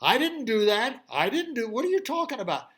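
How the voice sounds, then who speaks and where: A storyteller voicing a whiny, frightened male castle guard in a children's story, protesting in a high, squealing voice. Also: very animated, a little fast, a bit bright. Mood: indignant.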